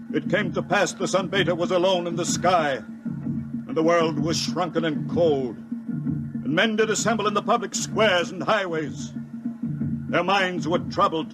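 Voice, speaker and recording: An actor playing a grim, zealous cult priest in a radio drama, declaiming solemnly like scripture. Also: male voice, high voice, low-quality recording. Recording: loud music under the voice.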